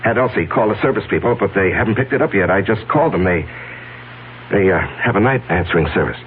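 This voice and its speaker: An actor playing a scheming, nervous businessman with a halting, evasive manner in a 1970s radio drama. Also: lowish voice, expressive, lightly acted. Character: scheming, nervous businessman covering up his misdeeds. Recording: noisy, tinny old radio broadcast with hum.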